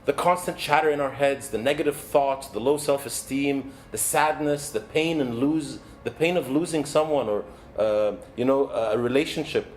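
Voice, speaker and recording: A man in his forties from Egypt, a native Arabic speaker, delivers a motivational keynote as a coach in an earnest, measured, deliberate way. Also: mid-range voice. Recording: background noise, ambient sound.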